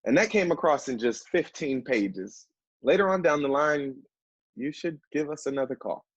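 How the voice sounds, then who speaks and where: A man acting a measured, encouraging literary agent in a stage play, polite in manner, on a dull recording. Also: a little high, expressive, no room sound.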